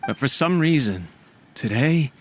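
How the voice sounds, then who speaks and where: An actor playing a nervous, deferential young servant in an anime game, speaking hesitantly and apologetically. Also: male voice, medium pitch, animated, measured pace, a little hiss or hum, natural voice. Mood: worried. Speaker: video game character voice actor. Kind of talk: English-dubbed anime game dialogue. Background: background music.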